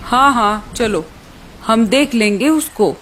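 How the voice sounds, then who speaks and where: A woman's voice: fairly high pitch, animated, takes it slowly, a bit bright, a little hiss or hum, low-quality recording. A woman voicing a bullying male hunter hawk who threatens in rustic dialect, in a children's cartoon story. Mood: boastful.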